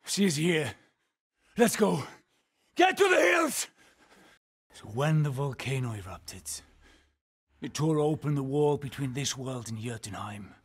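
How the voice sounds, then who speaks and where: A man voicing a grave, weary Norse guide in a video game, solemn and portentous, with a husky, breathy voice. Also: medium pitch, sing-song, full character voice. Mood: urgent shouting, then grim. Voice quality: husky, breathy, often dropping to a whisper. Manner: solemn, deliberate, portentous delivery. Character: grave, weary male seer and guide of a haunted Norse land. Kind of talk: dramatic video game dialogue.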